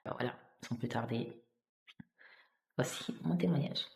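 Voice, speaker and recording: A woman's voice: a bass voice, moderate pace, creaky, roomy sound. A woman from Italy sharing her personal faith testimony in a vlog, earnest and hesitant, with many fillers and restarts.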